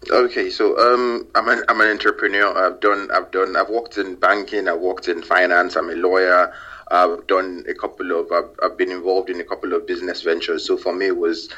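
A man's voice: sits low, little pitch movement, a little fast, pushed and bright. A man, a company founder, answers interview questions in a casual, hesitant way, full of fillers and repeated words. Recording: dull lo-fi audio.

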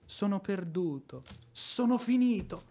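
An actor playing a hot-headed, lovestruck ex-army officer in a theatrical radio drama, bombastic and impulsive. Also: male voice, high voice, animated, measured pace, warm tone, full character voice. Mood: desperate ardour. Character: blustering, hot-headed ex-artillery officer, helplessly smitten.

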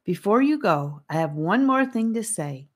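A woman's voice: medium pitch, very animated, moderate pace, voice pushed up. A woman reading a storybook, voicing a serene, earnest girl who encourages her friends. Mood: gentle.

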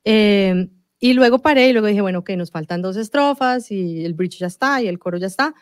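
A woman's voice: mid-range voice, brisk, dry recording. Chatty Colombian woman, dry sound. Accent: Colombian Spanish. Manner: chatty, many fillers and restarts. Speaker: singer-songwriter guest. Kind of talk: casual interview conversation.

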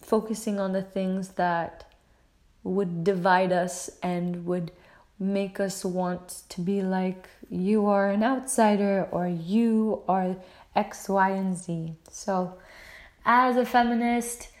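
Slow-paced, earnest American woman podcaster. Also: medium pitch, fairly even intonation. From United States. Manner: earnest, affirming, loosely phrased with frequent "like". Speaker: podcast host. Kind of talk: casual solo podcast monologue.

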